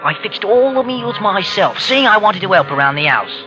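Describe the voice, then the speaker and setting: An actor playing a bitter, suspicious young working-class English nephew in a 1940s radio drama, sarcastic and sullen. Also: male voice, high voice, very animated, moderate pace, music in the background. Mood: brooding narration. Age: young adult. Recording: dull old 1940s radio recording.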